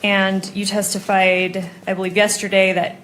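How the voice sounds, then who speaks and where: A woman's voice: mid-range voice, little pitch movement, normal speed, a bit bright. An American woman questioning a witness as an attorney in a courtroom, speaking formally, methodically and precisely. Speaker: prosecuting attorney examining a witness. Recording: noisy courtroom audio.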